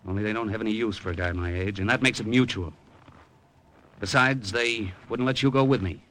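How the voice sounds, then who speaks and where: A man's voice: lowish voice, a little fast, a bit bright, slight background noise, close to an everyday voice. Noir radio lead: actor as cynical, broke American man, punchy edge, hard-boiled delivery. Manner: wry, slangy, hard-boiled. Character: cynical, broke American gambler abroad, middle-aged. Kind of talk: 1950s radio drama acting and noir narration.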